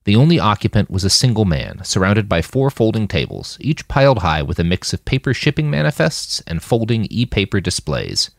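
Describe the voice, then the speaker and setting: Male fiction narrator, very low voice, highly animated delivery, dry recording. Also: brisk, vocal fry, few pauses, no echo. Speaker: audiobook narrator.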